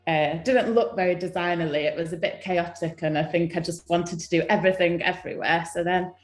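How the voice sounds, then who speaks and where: Low-voiced hesitant young Englishwoman. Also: steady tone, brisk, roomy sound, soft background music. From England. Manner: hesitant, many ums, soft laughs. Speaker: guest designer. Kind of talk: casual live-stream chat.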